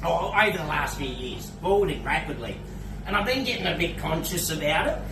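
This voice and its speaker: A man's voice: fairly high pitch, big pitch swings, a little fast, vocal fry. Australian male comedian, bawdy stand-up, off-mic, noisy echoing room. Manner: crude, laughs at his own jokes. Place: comedy club stage. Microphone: away from the mic, unamplified. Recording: echoey room, distant, noisy.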